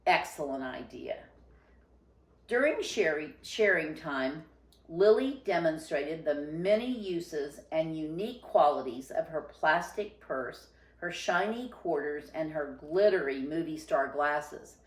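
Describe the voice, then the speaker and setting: Female storybook reader: expressive, unhurried narration, a little low-pitched, with audible room echo.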